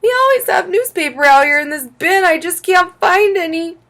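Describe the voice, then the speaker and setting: A woman playing a brisk, caring mother of a schoolchild in a children's audiobook. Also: very high-pitched, a bit bright, voice pushed up. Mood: kind.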